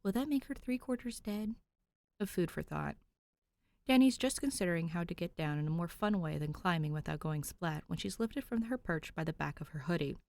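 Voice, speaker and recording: A woman's voice: on the low side, expressive, a little fast, creaky, a little mellow. A woman podfic reader narrating fan fiction expressively, with an informal, conversational touch.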